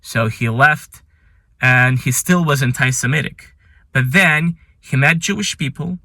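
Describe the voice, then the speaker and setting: A man who is not a native English speaker hosts a ranked commentary, speaking earnestly and with admiration, with some halting phrasing. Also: mid-range voice, sharp tone, no echo, clean studio sound. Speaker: channel host. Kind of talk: ranking list commentary.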